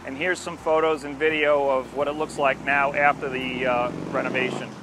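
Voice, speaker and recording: An older man giving an informal, unscripted explanation to camera outdoors, speaking in a halting, explanatory way. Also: mid-range voice, flat delivery, background noise. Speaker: landscape company presenter. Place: outdoors at a street corner by a station. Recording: music behind the voice, outdoor recording. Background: music.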